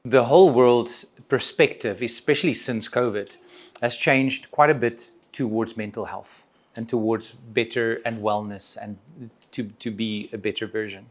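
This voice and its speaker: A man's voice: mid-range voice, fairly even intonation, unhurried. Reassuring male coach. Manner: reassuring, checks understanding often. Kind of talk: answering questions in a workshop Q&A.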